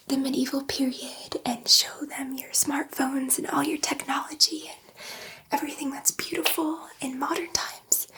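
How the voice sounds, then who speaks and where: A woman playing a gentle, nervous alien scientist in an ASMR sci-fi roleplay, whispering softly in a hesitant, rambling, confiding way. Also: high voice, exaggerated voice, rough recording. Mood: nervous, eager. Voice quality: soft breathy whisper. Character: gentle, nervous, chatty female alien scientist, friendly to humans.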